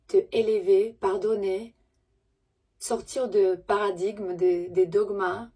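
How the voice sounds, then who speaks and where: A woman giving a gentle, soothing spiritual message to her viewers in a language that is not her first, pausing often and correcting herself. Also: mid-range voice, breathy edge. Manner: gentle, soothing, halting with pauses and self-corrections; speaks French as a second language. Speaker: spiritual messenger.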